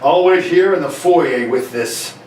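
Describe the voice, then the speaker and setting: A man's voice: a little high, a little slow, a little mellow, natural voice. A man playing a brusque, impatient police inspector in an amateur 1940s-style radio play, barking questions and orders. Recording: echoey room, distant mic, faint noise.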